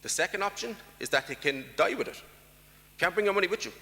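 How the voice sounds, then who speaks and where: A man's voice: sits high, lively intonation, fast, bright, forceful tone, big-room sound. A man with an Irish accent giving an emphatic conference talk, putting rhetorical questions to the audience. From Ireland. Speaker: conference speaker. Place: large conference hall.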